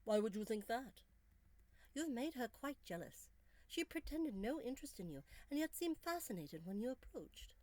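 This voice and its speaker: A woman's voice: a little high, sing-song, normal speed, darkish tone, dry recording, voice pushed up. A woman voicing a bold, melancholy young Victorian Englishwoman in a storybook, candid and earnest, with formal, emphatic phrasing. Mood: bold, frank.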